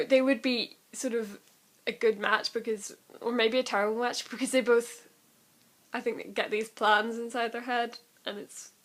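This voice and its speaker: A woman's voice: fairly high pitch, fairly bright tone. A young woman in a casual, rambling vlog chat, giggly and prone to laughing at herself as she does impressions. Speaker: amateur vlogger doing impressions.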